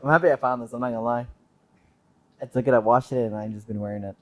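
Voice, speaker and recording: A man's voice: mid-range voice, fast, dry recording. A man co-hosting a casual podcast, swearing freely and bantering in a joking way. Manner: profane, joking, animated banter. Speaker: podcast co-host. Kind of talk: casual chat.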